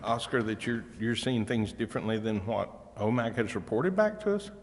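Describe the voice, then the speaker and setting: Lower-pitched American man in a reverberant hall. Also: big pitch swings, normal speed. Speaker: city council member. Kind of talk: questioning at a public meeting. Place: large indoor council chamber. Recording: reverberant hall, faint background noise.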